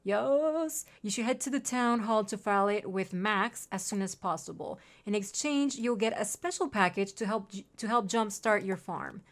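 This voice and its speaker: A woman's voice: medium pitch, normal speed, hardly any pauses. A young woman from Mexico with a Spanish accent, streaming a farming game and reading its dialogue aloud, with chatty asides and gasps. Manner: chatty, reads character lines aloud, reacts with gasps.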